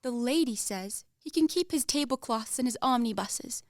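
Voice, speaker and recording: A woman's voice: on the high side, expressive, moderate pace, no room sound, clean studio sound. A woman playing a proud, easily offended young lady of twenty-three in a radio comedy, precise and theatrical. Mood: proud, cross. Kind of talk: stage-comedy radio play acting.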